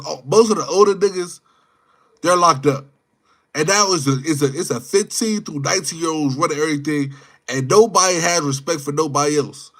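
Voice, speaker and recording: Male livestreamer, mid-twenties, high-pitched voice, off-the-cuff slangy talk that loops back on itself. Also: fairly bright tone. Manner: slangy, rambling, repeats phrases and words. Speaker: livestream host chatting with viewers. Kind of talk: casual live chat, off-the-cuff opinion.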